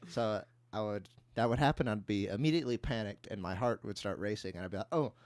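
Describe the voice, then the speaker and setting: A young man with a fairly low voice talks casually, as a podcast co-host would. He tells personal stories and banters in conversation, recorded close and dry. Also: fast, a little mellow, no room sound. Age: young adult.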